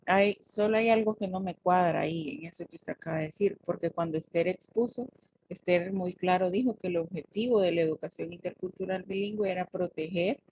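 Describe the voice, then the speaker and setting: Una mujer con acento hondureño que, como docente universitaria, explica y corrige con calma a sus estudiantes. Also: female voice, on the low side, a little hiss or hum, rough recording. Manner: explanatory, corrects and clarifies. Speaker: teacher. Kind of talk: university class discussion.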